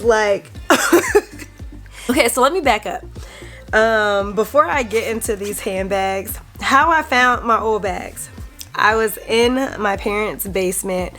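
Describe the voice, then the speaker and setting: A woman's voice: mid-range voice, fairly bright tone. A woman in her twenties hosting a casual vlog, sounding congested from a cold and chatting in a rambling way with frequent ums. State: congested, recovering from illness. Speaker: vlog host.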